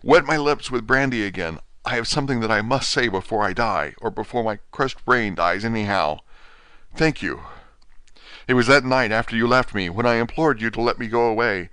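A man's voice: mid-range voice, a little fast, a bit bright, smooth, no echo, voice pushed up, lightly acted. A man voicing a dying asylum patient, weak and frantic, pleading in urgent gasps. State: weak, near death.

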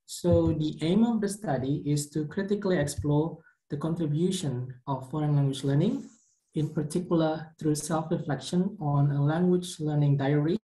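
Man, Indonesian native speaker, academic presentation, mellow voice, echoey room. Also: fairly high pitch. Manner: careful, measured academic delivery with some fillers. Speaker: PhD candidate presenting research. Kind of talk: recorded conference presentation over slides.

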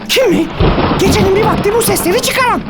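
A woman's voice: extremely high pitch, quick pace, heavy background noise. A woman voicing a boastful, dramatic child detective in a children's cartoon, theatrical and self-important but easily scared. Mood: suspicious, urgent. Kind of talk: animated children's cartoon acting.

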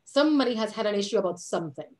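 A woman from Puerto Rico in her forties talks with lively hand gestures over a video call from a dim home office. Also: mid-range voice, racing. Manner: animated, gestures a lot with her hands. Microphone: webcam on a video call.

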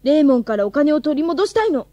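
A woman playing a plucky, cheeky high-school girl with worries, in a Japanese radio drama. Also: very animated, fast talker, mellow, no room sound, highs cut off.

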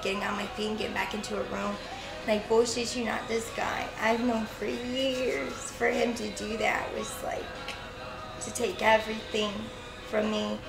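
High, creaky-voiced young woman. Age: 20s. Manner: rambling, emotional, swears freely. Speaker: street interview guest. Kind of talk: candid personal storytelling. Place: indoors in a fast-food restaurant seating area. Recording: echoey indoor space with music playing.

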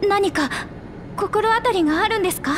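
A woman's voice: extremely high pitch, moderate pace, slight background noise. A woman voicing a tiny, childlike halfling researcher in a video game, earnest and polite. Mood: earnest, pleading.

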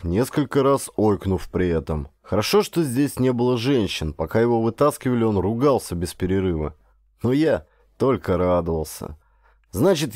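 A man's voice: lowish voice, darkish tone, no room sound. A man reading a first-person adventure story as an audiobook narrator, in a scripted literary style.

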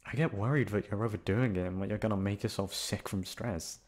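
A young man playing a gruff but affectionate, protective delinquent student in an intimate ASMR roleplay, talking in a casual, foul-mouthed way. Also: lowish voice, fairly quick, darkish tone, natural voice. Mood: tender, concerned.

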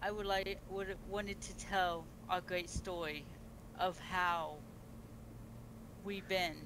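Beast empress played by a man, high voice, formal halting speech, noisy game-chat audio. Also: level tone. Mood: stately. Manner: formal, grand speech with restarts. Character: pregnant beast-woman empress hosting a feast. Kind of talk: role-play in a multiplayer game.

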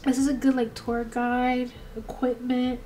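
A young woman with a soft voice, chatting casually through a product review in a small home studio, gasping and laughing often. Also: a little high, little pitch movement, measured pace, slight background noise. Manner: bubbly, chatty, frequent gasps and laughs. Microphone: studio mic on boom arm.

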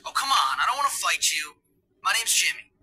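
A defiant teenage troublemaker who talks back flatly and sarcastically. The voice is bright and a little husky. It rises and falls a lot in pitch. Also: male voice, a little fast, full character voice. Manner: blunt, sarcastic. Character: tough teenage schoolboy, defiant and blunt. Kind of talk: video game cutscene acting.

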